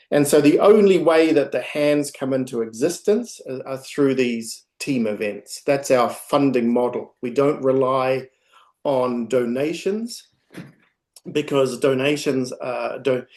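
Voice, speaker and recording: A man's voice: medium pitch, a little mellow. A man with a New Zealand accent giving an informal talk on a video call, hesitant with many ums and restarts. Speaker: guest speaker, project founder.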